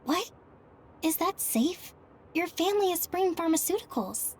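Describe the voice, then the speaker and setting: Young anime game soldier girl, high voice, determined, heartfelt, loyal. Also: expressive, a little slow, a little hiss or hum. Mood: worried. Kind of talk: anime-style video game cutscene acting.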